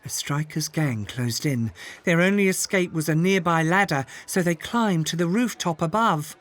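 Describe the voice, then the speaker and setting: A man narrating a scripted children's story in an expressive, dramatic way. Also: a little high, normal speed.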